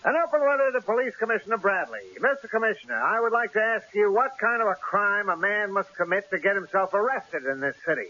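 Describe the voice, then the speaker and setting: An actor playing a cautious, exasperated newspaper editor in an old-time radio drama, brusque and argumentative. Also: male voice, sits high, quick pace, hardly any pauses, sharp tone. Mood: declaiming, reading aloud. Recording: hissy, dull old radio broadcast recording.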